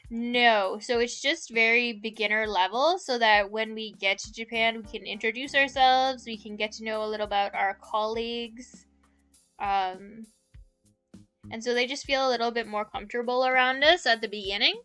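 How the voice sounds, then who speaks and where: A young woman streamer chatting casually with her viewers, relaxed and giggly, practicing halting Spanish. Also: on the high side, smooth, faint music behind.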